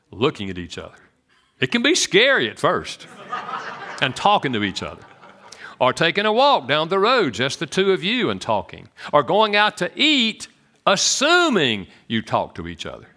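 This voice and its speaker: A man with a Southern US accent giving an animated, joking church talk on marriage to an audience. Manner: animated and joking, swoops in pitch. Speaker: speaker on marriage.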